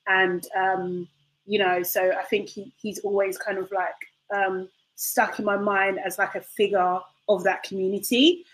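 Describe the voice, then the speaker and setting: A woman's voice: medium pitch, little pitch movement, measured pace, rough recording. A woman co-hosting a casual discussion, speaking thoughtfully and hesitantly, with many fillers. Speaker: podcast co-host.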